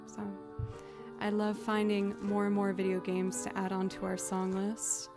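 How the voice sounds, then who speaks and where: A young woman with a low voice, chatting casually and rambling to her live stream audience. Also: monotone, measured pace, music in the background. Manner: chatty, rambling, self-deprecating. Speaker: streamer and musician.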